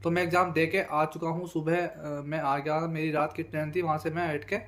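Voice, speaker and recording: A man's voice: a little high, level tone, moderate pace, fairly bright tone. A young man speaks. He talks informally to his viewers as he breaks things down, circling back over his points and peppering them with verbal tags. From Bijnor, Uttar Pradesh, India. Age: young adult. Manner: repetitive, conversational, frequent verbal tags. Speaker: exam candidate and video host. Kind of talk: informal exam analysis talk to viewers.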